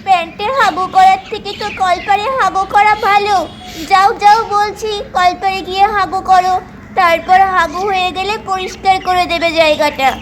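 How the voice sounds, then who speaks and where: A woman's voice: very high voice, fairly even intonation, normal speed, noisy background. A woman playing a fussy, loving young village mother in a doll cartoon, coaxing and scolding with many exclamations. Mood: flustered scolding. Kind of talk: doll-play cartoon story acting.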